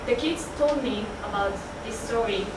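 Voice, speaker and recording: A young woman speaking in a rehearsed classroom role play, haltingly and repeating phrases. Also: fairly high pitch. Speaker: student presenter in role play. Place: indoor room, recorded at a distance. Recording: distant mic, echoey room, loud noise.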